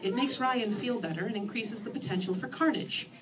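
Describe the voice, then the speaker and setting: Woman reading as a stilted, deadpan monster girl. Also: a little high, fairly quick, crowd noise, lightly acted. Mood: earnest, pleased. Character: formal, literal-minded female monster, politely bloodthirsty. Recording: distant microphone in a room.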